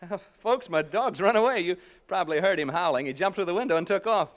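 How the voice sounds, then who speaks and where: A jittery skeptical ghost hunter. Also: male voice, high voice, animated, dull audio. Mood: uneasy. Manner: nervous, halting, addresses listeners directly. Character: skeptical male radio host on a ghost hunt, jittery. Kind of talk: 1940s radio acting.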